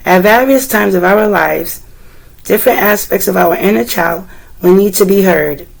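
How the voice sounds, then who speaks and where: A woman tarot reader with a deep, slightly rough voice, still getting over a cold, talking gently and meandering as she speaks to the viewer and reads aloud from a guidebook. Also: normal speed, rough recording. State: recovering from a cold. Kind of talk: casual tarot reading, partly read aloud from a guidebook.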